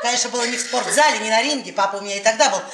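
An actress playing a brash, mocking middle-aged professor's wife in a radio play, telling things in an earthy, animated way. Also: female voice, on the high side, rapid-fire, natural voice. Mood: mocking, amused. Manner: earthy, laughing, animated storytelling. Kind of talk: theatrical radio play acting. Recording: roomy stage sound with audience noise.

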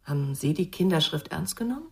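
A woman's voice: low-pitched, big pitch swings, brisk, gravelly, heavily acted. An actress playing a curious, candid girlfriend of an investigator in a radio crime drama, conversational and questioning. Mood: curious, probing.

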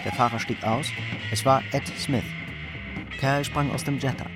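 A man's voice: medium pitch, steady tone, moderate pace, over loud music, natural voice. A man narrating a crime radio play in a sober, scripted storytelling style. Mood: tense, matter-of-fact.

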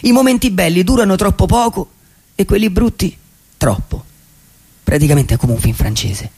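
Husky, gravelly wistful vagabond played by a man. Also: high voice, expressive, a little mellow, exaggerated voice. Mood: wry, reflective. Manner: reflective, halting, with deliberate pauses. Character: wistful, poetic male vagabond dreamer who loves cinema. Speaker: radio theatre actor performing a monologue. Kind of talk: theatrical dramatic monologue, radio play.

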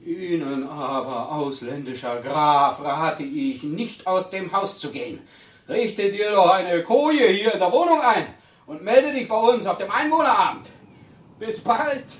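A sneering jealous rival, far off. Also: male voice, sits high, animated, unhurried. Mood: mocking. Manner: mocking, threatening. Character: jealous, sneering man threatening a duel. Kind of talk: 1980s radio play acting. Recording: echoey, from off-mic.

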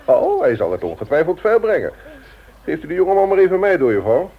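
An actor playing a haughty, formal company director in a radio play, condescending and used to authority. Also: male voice, high voice, wildly swooping pitch, brisk, vocal fry, husky, heavily acted. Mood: irritated. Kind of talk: Dutch radio play acting. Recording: muffled vintage radio drama recording.

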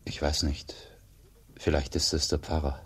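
A man's voice: extremely low pitch, little pitch movement, measured pace, a bit bright, slightly rough, heavily acted. A man playing a humble, earnest wandering priest in a radio drama, grave and simple in his speech. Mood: humble, searching. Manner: grave, simple, sparing with words. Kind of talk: German radio drama acting.

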